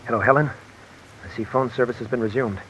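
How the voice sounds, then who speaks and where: A man's voice: mid-range voice, fairly even intonation, quick pace, a bit bright, close to an everyday voice. An actor playing a desperate male fugitive in an old radio drama, talking with urgency. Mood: guarded. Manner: urgent, pressed. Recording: lo-fi old radio recording with hiss.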